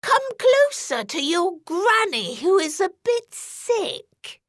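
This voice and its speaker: A woman plays a crafty storybook wolf pretending to be an elderly granny. The voice is a very high, drawn-out falsetto. It sounds sly and testy, with big swoops in pitch. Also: sing-song, a bit bright, dry recording, studio quality, exaggerated voice. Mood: coaxing. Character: sly male wolf imitating a frail old granny.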